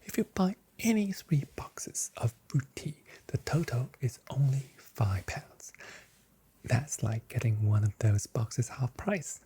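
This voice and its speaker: ASMR shop assistant: hushed, very breathy whisper, mellow tone, gently playful selling. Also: mid-range voice, expressive, slow pace. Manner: gently playful sales patter. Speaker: ASMR performer playing a grocery shop assistant. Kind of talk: whispered, soft-spoken ASMR shop assistant role play.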